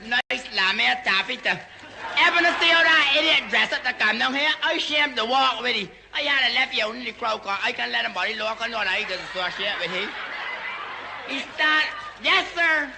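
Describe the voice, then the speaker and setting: A man's voice: very high-pitched, moderate pace, vocal fry, sharp tone, slight background noise. A man doing a live comedy stage act in dialect, teasing and mock-deferential.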